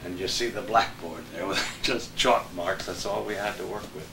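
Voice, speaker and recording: A man's voice: deep voice, normal speed. An older man chatting casually about old times as a guest. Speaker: former TV weatherman, guest. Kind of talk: casual reminiscing chat. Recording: echoey room, distant mic, clear noise.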